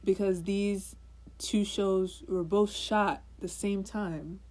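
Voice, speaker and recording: A woman co-hosting a podcast, chatting casually in an unhurried, teasing way with lots of fillers. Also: mid-range voice, fairly even intonation, darkish tone. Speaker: podcast co-host. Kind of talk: casual chat.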